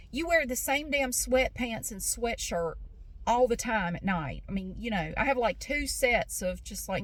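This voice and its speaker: A middle-aged woman chatting casually in a vlog, rambling with lots of fillers and bursting into emphatic encouragement. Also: fairly high pitch. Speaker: vlogger. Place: in her car, then inside a big store.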